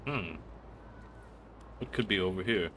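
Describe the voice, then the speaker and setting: A man doing casual livestream commentary over a game, rambling along in a deadpan, joking way. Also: sits low, measured pace, slight background noise. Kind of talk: casual gameplay stream commentary.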